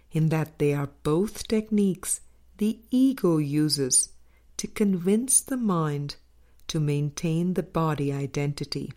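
A woman reading a spiritual audiobook as scripted narration, in measured, deliberate phrases with frequent pauses. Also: lowish voice, dark tone. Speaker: audiobook reader.

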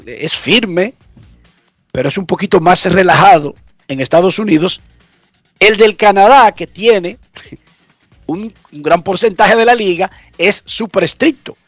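A man's voice: high-pitched, expressive, unhurried, no echo, faint music behind. A man hosting a radio show from his home garage, talking chattily and emphatically while congested with a cold. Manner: chatty, emphatic, explains things repeatedly. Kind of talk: casual radio talk.

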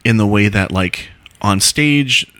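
A man's voice: fairly low pitch, slow, bright, forceful tone, slight background noise. A man hosting a podcast interview, chatting casually with plenty of filler words. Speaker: podcast host.

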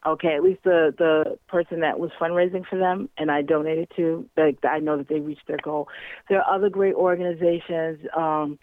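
A woman's voice: low-pitched, fairly even intonation, normal speed, no room sound. A woman in casual conversation as a guest, chatty and full of fillers and restarts. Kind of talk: casual chat. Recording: tinny phone line.

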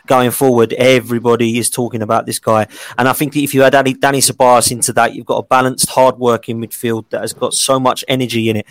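A man's voice: mid-range voice, no room sound. A man co-hosting a casual football chat, speaking confidently and declaratively. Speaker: podcast co-host.

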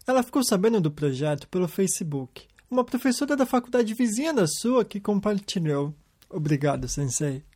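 A man hosting a podcast, telling stories in a calm scripted narration. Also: high voice, normal speed. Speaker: podcast host. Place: lives in Japan.

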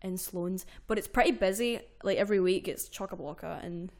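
A young woman with a fairly low voice, chatting casually and rambling with lots of fillers as a podcast co-host. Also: normal speed, darkish tone. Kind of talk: casual chat.

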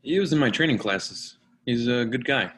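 A man's voice: medium pitch, expressive, moderate pace, a bit bright, lightly acted. An actor playing a casual male team colleague who talks briefly, in a staged screenplay reading. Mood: matter-of-fact. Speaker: actor in a screenplay readers theatre.

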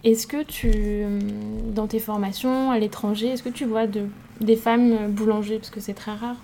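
A woman's voice: fairly high pitch, fairly even intonation, moderate pace, dark tone, a little hiss or hum. A woman hosting a podcast, asking casual questions of her guest. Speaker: podcast host. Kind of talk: interview questions.

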